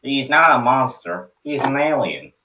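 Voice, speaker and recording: A man voicing a caring old grandfather, firm and folksy, in an animated series table read. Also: medium pitch, a little slow, far from the mic, a little hiss or hum, pitched above the performer's own voice, poor audio. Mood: reassuring. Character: gruff, caring old grandfather, steady and decisive.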